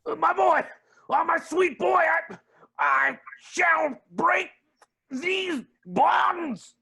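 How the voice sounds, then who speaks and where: A man's voice: very high voice, animated, extremely slow, vocal fry, sharp tone, heavily acted. A man acting a gleefully cruel billionaire villain in a staged play reading, with pompous, melodramatic, swooping delivery. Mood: anguished.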